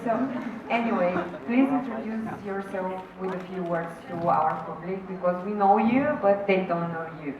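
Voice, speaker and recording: Young woman moderator, playful, heard off mic, with a big boomy room sound. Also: normal speed, distant mic, crowd noise. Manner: teasing, playful. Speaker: panel moderator. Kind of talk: casual panel hosting. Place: convention stage in a large hall. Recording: dull, very echoey, noisy hall.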